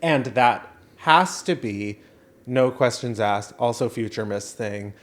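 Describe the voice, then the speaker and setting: A man's voice: mid-range voice, takes it slowly. A man co-hosting a casual comedy chat, with a campy, playful, giggly manner and sibilant S sounds.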